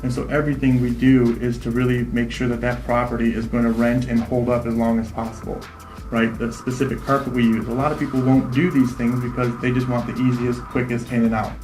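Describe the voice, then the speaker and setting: A man in his thirties, a real estate investor, answering interview questions quickly and confidently, often tagging "right?" onto his points. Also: medium pitch, darkish tone, roomy sound. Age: 30s. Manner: fast, confident, repeats "right?".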